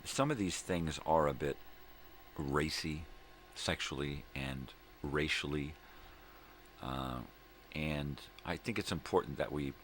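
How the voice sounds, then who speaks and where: A middle-aged man with a gravelly voice, rambling casually and folksily as he hosts a morning vlog, often repeating himself. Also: takes it slowly, a bit bright. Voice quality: gravelly, very deep. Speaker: host of a daily vlog. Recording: clear background room noise.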